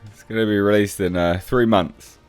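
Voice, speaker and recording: A man's voice: lowish voice, steady tone, moderate pace, no echo, soft background music. A man giving casual, off-the-cuff commentary on film news as a reviewer, blunt and sceptical in manner. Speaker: film reviewer, channel host.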